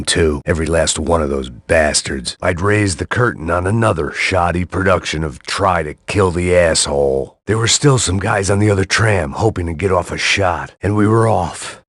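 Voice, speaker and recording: A burnt-out ex-cop in a game, very deep husky voice, sardonic noir narration, dead-dry studio sound. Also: male voice, normal speed, a bit bright, no room sound. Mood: world-weary. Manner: dry, sardonic, world-weary. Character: weary cynical middle-aged male ex-cop.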